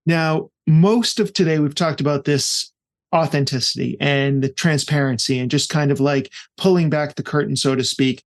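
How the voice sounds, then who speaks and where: A man hosts a podcast and puts questions to his guest in a relaxed, conversational manner. His voice sits a little above the usual male pitch. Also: normal speed. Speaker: podcast host.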